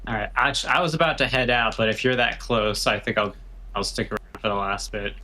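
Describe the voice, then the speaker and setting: A man with a bright, forward voice chats informally on a stream. His pitch is middling, and there is faint noise behind him. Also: fairly even intonation, fairly quick. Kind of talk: casual livestream chat.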